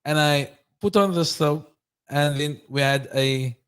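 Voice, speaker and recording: A man's voice: a little high, a little slow, smooth. A man hosting a podcast, telling a story with energy and acting out the lines of the people in it. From not Egyptian. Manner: animated storyteller, acts out quoted lines. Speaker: podcast host. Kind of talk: casual conversation.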